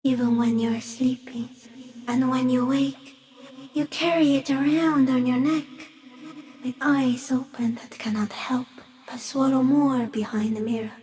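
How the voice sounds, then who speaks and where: A woman voicing an ancient, shy giant stick insect in a video game, gentle and solemn, speaking poetically with hushed, eerie wonder. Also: sits high, steady tone, unhurried, a little hiss or hum, poor audio. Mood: solemn, awed. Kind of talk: slow poetic game monologue.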